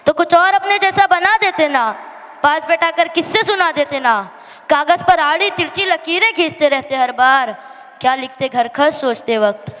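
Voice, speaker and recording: Female monologue performer, high-pitched, emotional and plaintive stage recitation, long hall reverberation. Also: fairly bright tone. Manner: emotional, plaintive, emphatic delivery with drawn-out refrains. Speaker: monologue performer at a school annual day. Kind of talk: dramatic Hindi poetic monologue recitation. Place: school annual day stage in a hall.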